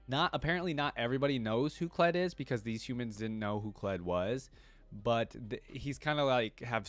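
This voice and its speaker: A man's voice: fairly low pitch, no echo. A man giving informal, casual fan commentary as a reaction video host. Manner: informal, peppered with "like" and "you know".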